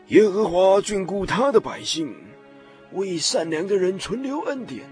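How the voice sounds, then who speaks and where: A holy prophet in a radio play, assured and declamatory, really lively highish voice. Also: male voice, unhurried, soft background music. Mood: solemn, kindly. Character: Elijah, steadfast male prophet of God, solemn and reassuring. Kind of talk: Mandarin radio drama acting.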